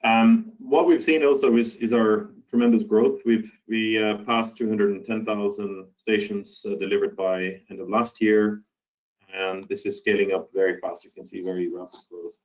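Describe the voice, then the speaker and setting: A man from Norway giving a conference talk over a video call, speaking haltingly with many fillers and restarts. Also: on the low side, fairly even intonation, low-quality recording. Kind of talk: online conference presentation.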